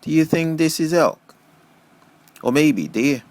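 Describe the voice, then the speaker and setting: An eager girl of almost sixteen, played by a man reading an audiobook, curious and easily impressed. Her voice is raised in pitch, with very animated rises and falls. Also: takes it slowly, no echo. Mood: eager, dreamy. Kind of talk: audiobook character dialogue.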